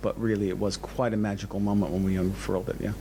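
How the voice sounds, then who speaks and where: A man giving explanatory interview answers as a museum director, enthusiastic but halting, often repeating words. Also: lowish voice, level tone, dark tone, slight background noise.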